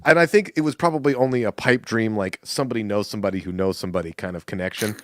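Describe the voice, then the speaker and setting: A man co-hosting a podcast in casual chat, telling animated stories, acting out quotes and laughing often. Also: on the low side, rapid-fire, dry recording, clean studio sound. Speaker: podcast co-host.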